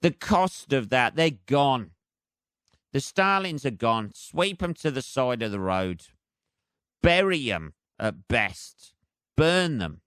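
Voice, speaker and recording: A British man hosts a solo comedy podcast in a clear, smooth voice, recorded dry and close. He rambles casually and sardonically, swearing freely as he rants. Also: medium pitch, fairly bright tone, dry recording. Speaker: podcast host.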